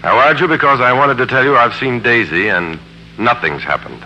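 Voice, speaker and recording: An American actor playing a smooth, sardonic, tormented businessman and father in a 1940s radio drama. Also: medium pitch, normal speed, sharp tone, lo-fi sound. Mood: firm, composed. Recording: humming, noisy 1940s radio recording.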